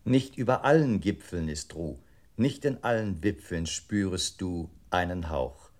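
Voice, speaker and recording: A man's voice: low voice, measured pace, darkish tone. A man playing a computer processor in a radio play, reciting in a methodical, staccato, impersonal way. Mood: methodical, detached. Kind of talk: 1960s German experimental radio play.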